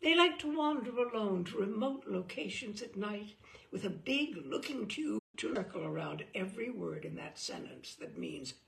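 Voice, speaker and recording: A woman reading a humorous book aloud in a theatrical, deadpan way, with dramatic emphasis and pauses. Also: medium pitch, vocal fry. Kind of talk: theatrical read-aloud of dry comic prose.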